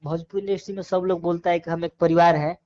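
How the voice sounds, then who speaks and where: A man's voice: on the high side, little pitch movement, fast, darkish tone. A man with a Bhojpuri accent giving opinions in a casual phone interview, earnest and argumentative, repeating phrases for emphasis. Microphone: phone call. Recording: dull lo-fi phone call recording.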